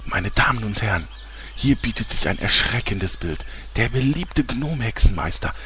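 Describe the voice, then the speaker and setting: A man's voice: medium pitch, a bit bright, a little hiss or hum, lightly acted. A man playing a pompous, sleazy, easily flustered TV reporter in an audio parody, with dramatic announcer delivery.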